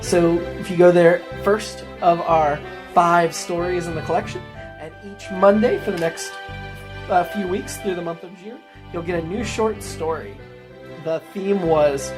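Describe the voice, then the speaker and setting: A woman's voice: on the low side, moderate pace. A woman hosting a podcast, reading out an introductory announcement. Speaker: podcast host. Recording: music bed behind the voice. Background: music.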